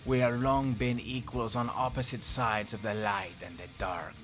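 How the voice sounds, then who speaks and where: Male actor as haughty duelling wizard hero, medium pitch, ceremonious wording, indignant, audio roleplay, light background music. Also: fairly even intonation, moderate pace, a bit bright, lightly acted. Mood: grand, declaiming. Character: pompous, formal male heroic magic duellist.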